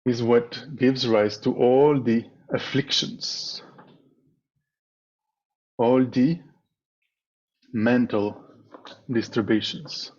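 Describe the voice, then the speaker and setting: A man leading a guided meditation as a teacher, speaking in a measured way with pauses between short phrases over a slightly dull video call. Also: mid-range voice, steady tone, darkish tone. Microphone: video call microphone.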